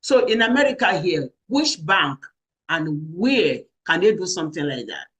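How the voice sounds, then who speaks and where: A man's voice: on the high side, sing-song, moderate pace, pushed and bright. A man hosting a panel talk show, relaying audience questions and restarting his sentences as he goes. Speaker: host.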